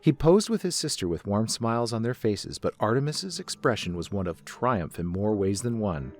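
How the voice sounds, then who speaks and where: A man's voice: fairly low pitch, animated, moderate pace. A man narrating a scripted story as a reader, setting the scene between characters' dialogue. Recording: music under the voice.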